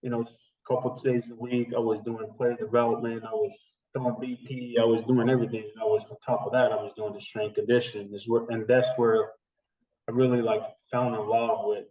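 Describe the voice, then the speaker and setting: A man's voice: medium pitch, level tone, a little fast, crowd noise. A man in his thirties from the Dominican Republic, a guest talking casually and rambling, with lots of fillers and restarts. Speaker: strength and conditioning coach, guest. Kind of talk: casual interview chat. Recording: echoey, distant remote recording.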